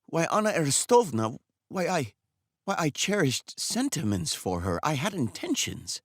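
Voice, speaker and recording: A timid, heartbroken young barber who stammers and begs in a strained, raised voice, as a man reading the story puts him on. The pitch swoops widely between words. The sound is close and dry. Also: wildly swooping pitch, no echo. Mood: pleading. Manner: pleading, stammering, quick to despair. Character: young lovesick male barber, timid and tearful.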